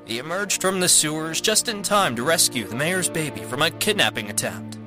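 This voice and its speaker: A man playing an excited television news reporter in an audio drama, with a dramatic newscast delivery. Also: on the high side, normal speed, lightly acted. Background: music.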